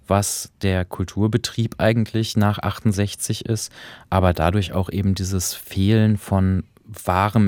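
A man with a low voice talks as a radio co-host and critic, recorded close and dry. He explains and reflects in intellectual phrasing, in an analytical but conversational style. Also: darkish tone, dry recording.

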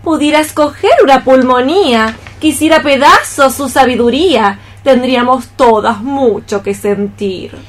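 A woman's voice: high-pitched, unhurried, hardly any pauses, a little hiss or hum. A woman playing a very old, lame, practical cockroach neighbour in radio theatre, exclaiming theatrically in rhymed verse. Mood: grumbling.

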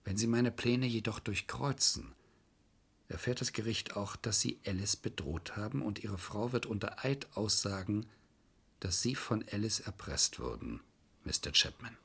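An actor playing a shrewd, controlled defence lawyer in a German crime radio play, formally polite and insistent. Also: male voice, fairly low pitch, expressive, measured pace, vocal fry, a bit bright, slightly rough, exaggerated voice. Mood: coolly pressing. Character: shrewd, coolly calculating male defence lawyer.

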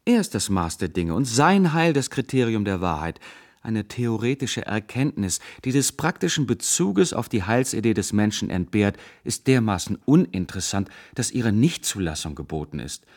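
An actor playing a severe, dogmatic intellectual zealot, a professor who lectures in cutting absolutes, in a radio drama. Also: male voice, on the low side, sing-song, normal speed, a little mellow. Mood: cold, lecturing. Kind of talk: German radio drama acting.

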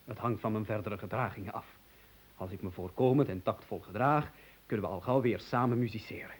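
An actor playing an excitable, gloomy musician in an absurd comic radio play, complaining theatrically and at wordy length. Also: male voice, lowish voice, brisk, slightly rough. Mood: pedantic. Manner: theatrical, verbose, plaintive complaining.

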